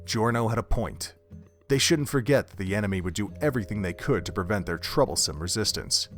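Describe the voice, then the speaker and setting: A male narrator tells a dramatic story from a script. His voice sits on the low side, in the style of audio drama narration. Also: lively intonation, fairly quick, fairly bright tone, faint music behind.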